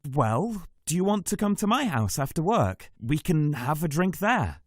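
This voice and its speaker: A woman reading a scripted listening-test dialogue with clear, careful delivery. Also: low voice, sing-song, unhurried, no echo, studio quality, full character voice. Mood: friendly.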